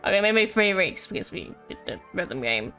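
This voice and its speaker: A woman's voice: mid-range voice, big pitch swings, moderate pace, fairly bright tone. A woman game streamer chatting casually and directly to her viewers during gameplay. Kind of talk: casual livestream chat over gameplay. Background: faint game music.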